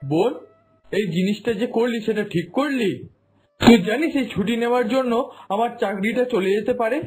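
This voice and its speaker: A man voicing a homesick, hardworking son in a cartoon drama, earnest and complaining, with a husky voice. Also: very high voice, moderate pace, faint music behind. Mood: upset, bewildered. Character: homesick, hardworking son working far from home. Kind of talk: Bengali cartoon drama dubbing.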